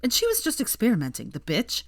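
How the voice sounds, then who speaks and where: A woman's voice: fairly high pitch, wildly swooping pitch, fast, no room sound, clean studio sound, pitched above the performer's own voice, full character voice. A woman voicing a brash, theatrical, foul-mouthed young woman in an audiobook story, gushing and emphatic. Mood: dismissive, brash. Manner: gushing, casual, emphatic, swearing. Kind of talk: fan fiction audiobook character voice.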